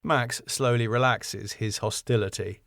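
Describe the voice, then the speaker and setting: A man reading the stage directions of his own sitcom script in a brisk, matter-of-fact way. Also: medium pitch, steady tone, creaky, a bit bright, no room sound, clean studio sound.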